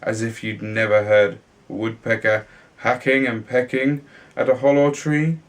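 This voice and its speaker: A man playing slow-spoken, mocking older brothers. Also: mid-range voice, level tone, pitched below the performer's own voice, natural voice. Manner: scornful, teasing. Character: two mocking older brothers in a fairy tale.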